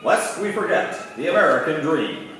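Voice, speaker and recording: Guy doing old timey radio announcer spiel, lively pitch, far from mic w/ big room echo. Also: normal speed, soft background music. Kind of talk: scripted 1940s radio announcing to a live audience. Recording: echoey stage from afar, faint noise.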